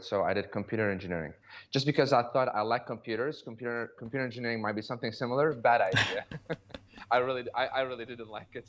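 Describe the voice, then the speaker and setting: A low-pitched man from Iraq talks casually as an interview guest. He tells his story in a hesitant way, doubling back on words as he looks for the next phrase. The audio is a little dull and lo-fi. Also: fairly bright tone. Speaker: podcast guest, cosmetic dentist.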